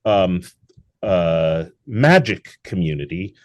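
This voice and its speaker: A man's voice: low voice, animated, slow pace, lo-fi sound. A man being interviewed as a guest, speaking earnestly in long, winding sentences about his theatre work. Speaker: guest, theatre founder and actor. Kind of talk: casual interview over a call.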